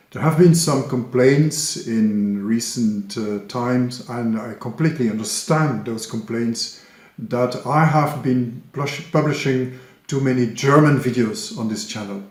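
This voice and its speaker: Man, Dutch speaker, mid-pitched voice, casual channel announcement, lots of fillers, roomy echo. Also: darkish tone. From Flemish Belgium. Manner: hesitant, frequent "uh" and "um", self-corrections. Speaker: trading YouTuber.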